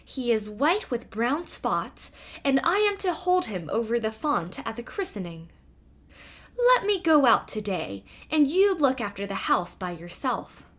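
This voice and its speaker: Storybook cat woman acting: female, greedy, smug, coaxing and cool, unhurried delivery with an animated, highish pitch. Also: exaggerated voice.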